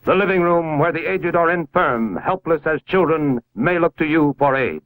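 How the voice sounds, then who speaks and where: An American man narrates in the style of a 1950s educational film. His voice sits a little high, with a formal, measured, instructive delivery. The sound is a dry, close vintage film soundtrack. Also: a bit bright, dry recording, low-quality recording.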